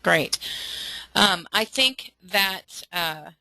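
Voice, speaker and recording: A woman's voice: lowish voice, lively intonation, very slow, vocal fry, pushed and bright, no echo, rough recording. A woman moderating a webinar, earnestly relaying audience questions to a guest.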